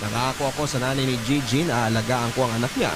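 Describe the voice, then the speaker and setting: A prominent music bed runs under an actor as a young father. His mid-pitched male voice narrates in an earnest, confessional radio drama style. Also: steady tone, fast talker, natural voice. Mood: earnest, reflective. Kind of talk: scripted first-person radio drama narration. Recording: loud music bed behind.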